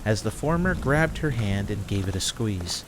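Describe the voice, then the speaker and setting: A man's voice: fairly low pitch, animated, normal speed, noisy background. A man reading scripted story narration as the narrator of a fantasy audio drama.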